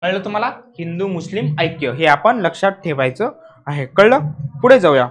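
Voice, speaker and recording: A man's voice: sits high, lively intonation, moderate pace. A man teaching exam material in a lecture style, repeating key points and often checking in with students using short questions.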